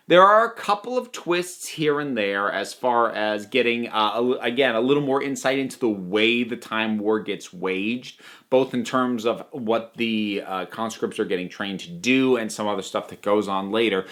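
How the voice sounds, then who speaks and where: A man's voice: mid-range voice, pushed and bright. A man giving a conversational, opinionated review to viewers, leaning on key words for emphasis. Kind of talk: spoken audio drama review to viewers.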